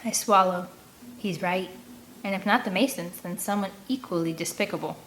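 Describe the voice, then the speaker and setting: A woman narrating a first-person story in an animated, expressive read-aloud manner. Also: on the low side, moderate pace, poor audio. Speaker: audiobook reader. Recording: clear background ambient noise.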